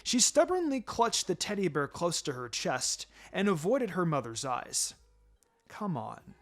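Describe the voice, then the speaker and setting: A man reading fan fiction aloud as an expressive story narrator. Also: sits high, normal speed. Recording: music behind the voice. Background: background music.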